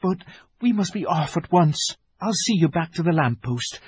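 A man voicing a timid, weeping male faun who pleads slowly and frets. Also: on the high side, lively intonation, darkish tone, breathy edge, pitched above the performer's own voice. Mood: anxious. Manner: fretful, pleading, slow and drawn out.

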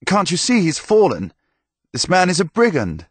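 A man's voice: high-pitched, wildly swooping pitch, normal speed, breathy edge, no echo, pitched above the performer's own voice, exaggerated voice. A haughty young knight sneers with scorn, as a male narrator plays him. His voice sits well above the narrator's own. The sound is dry and close. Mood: scornful.

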